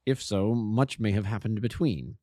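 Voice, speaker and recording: Cool, measured detective voiced by a male reader, low and clipped, dark mellow tone. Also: dry recording, clean studio sound, natural voice. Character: cool, lordly Victorian detective, middle-aged.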